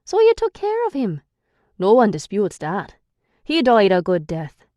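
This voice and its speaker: A gruff, sarcastic young man who guards a captive and has no patience for her, as a woman narrator puts him on. His lines come out raised, pushed and scolding, higher than her own reading voice, recorded close and dry. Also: huge pitch swings, a little slow, mellow, no room sound. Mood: blunt. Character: irritable sarcastic young male captor.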